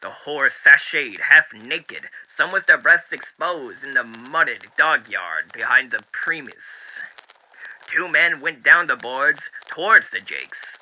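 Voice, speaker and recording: Dapper, sinister male radio demon, theatrical storybook reading, piercingly bright, animated pitch, old radio filter. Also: full character voice, rough recording. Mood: ominous relish. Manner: theatrical, deliberate, with hushed dips and swells. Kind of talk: dramatic book reading as old-time radio host.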